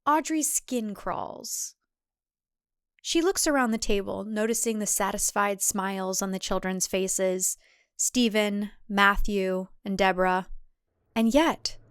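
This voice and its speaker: A woman's voice: mid-range voice, slow pace, a bit bright, clean tone, dry recording, studio quality. A woman reading scripted thriller fiction as a narrator.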